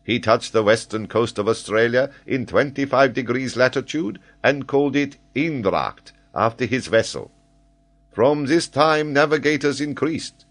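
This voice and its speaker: A man voicing a proud, excitable French geographer from a storybook, pedantic and emphatic as he lectures. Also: mid-range voice, a little slow, pitched above the performer's own voice, lightly acted. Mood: eager lecturing.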